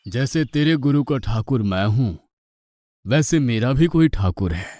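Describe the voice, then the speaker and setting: A man's voice: medium pitch, animated, unhurried, dark tone, no echo, natural voice. A man voicing a kindly, indulgent god in human form in a cartoon, coaxing and instructing patiently. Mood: mildly exasperated. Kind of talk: Hindi cartoon mythological acting.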